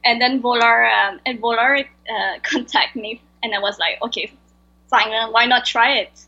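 A woman's voice: on the high side, fairly even intonation, normal speed, sharp tone, lo-fi sound. A woman whose first language is Vietnamese, speaking English with a French accent, hesitantly answering interview questions as a guest over a video call. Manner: hesitant, searching for words. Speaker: guest translator.

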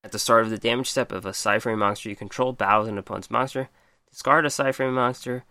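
Hoarse man reviewing cards. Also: mid-range voice, fairly even intonation, fast, pushed and bright, dry recording, clean studio sound. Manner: reads card stats then comments, many ums. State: losing his voice. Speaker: podcast host. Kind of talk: casual card game review.

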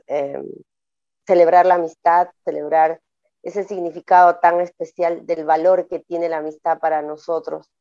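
A woman's voice: fairly low pitch, dry recording. A woman hosting a community radio interview program, speaking in a formal, ceremonial way. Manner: warm ceremonial toasting, formal phrasing. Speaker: radio host. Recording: dull lo-fi dry radio audio.

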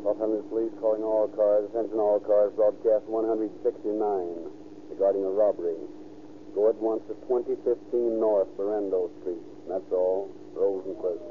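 A man's voice: lowish voice, fairly even intonation, normal speed, lightly acted. A man playing an official police dispatcher reading a clipped, droning radio bulletin. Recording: noisy, humming narrow-band police radio sound.

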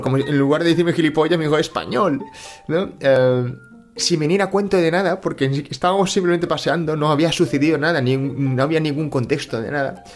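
Reflective Spanish man, hesitant monologue. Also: medium pitch. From Spain (Catalonia). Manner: thoughtful, hesitant, many fillers and restarts. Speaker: personal finance channel host.

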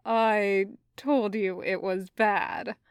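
A woman voicing a reserved, polite man with dry humour and a soft-spoken, measured delivery in an audiobook-style story. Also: medium pitch, steady tone, clean tone, no room sound, voice pushed down. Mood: amused, mild.

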